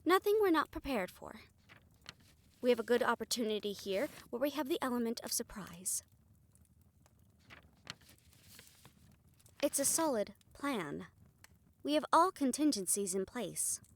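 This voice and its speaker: A woman's voice: on the high side, animated, normal speed. A woman playing a defiant, determined young woman in a fantasy audio roleplay, earnest and sardonic when challenged. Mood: firm.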